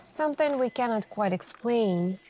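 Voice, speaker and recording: A woman playing a calm, insistent police detective in an ASMR interrogation roleplay, speaking in a hushed, deliberate way with pauses between short phrases. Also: on the high side, a little hiss or hum, natural voice. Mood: ominous.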